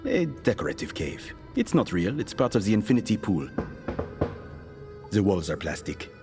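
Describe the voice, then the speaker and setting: Paranoid office assassin, insistent and accusing, voice jumps around a lot, comedy drama podcast. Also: male voice, lowish voice, big pitch swings, normal speed, music in the background. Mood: matter-of-fact. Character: suspicious male company assassin interrogating a coworker. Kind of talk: comedic audio drama acting.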